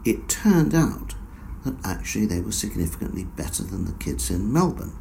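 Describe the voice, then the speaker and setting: Male researcher guest, very low gravelly voice, dark tone, measured explainer with ums. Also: very animated, slight background noise, poor audio. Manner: measured, explanatory, many fillers. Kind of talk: academic interview answers.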